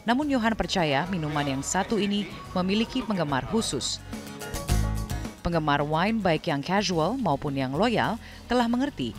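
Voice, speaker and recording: A woman reading a scripted narration in an even, formal way. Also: fairly low pitch, normal speed. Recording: voice-over with clear background music.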